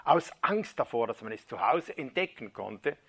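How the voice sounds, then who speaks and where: A man preaching, retelling a first-person conversion story as a dramatic reading that drops to a hushed near-whisper at key moments. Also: mid-range voice, big pitch swings, moderate pace, vocal fry.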